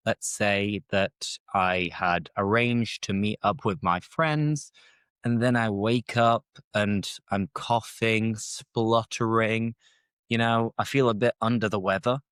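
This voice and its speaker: A man's voice: on the low side, fairly bright tone, no room sound, clean studio sound. A British man hosting an English-learning podcast, chatting casually off the top of his head and explaining phrases as he goes. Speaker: English teacher and podcast host. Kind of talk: casual unscripted teaching monologue.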